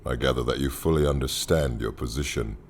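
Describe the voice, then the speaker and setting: Husky, very deep anarchist leader. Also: male voice, a little fast. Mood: coolly scornful. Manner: commanding, theatrical, mockingly courteous. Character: imposing, mocking male leader of an anarchist council. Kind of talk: radio drama acting.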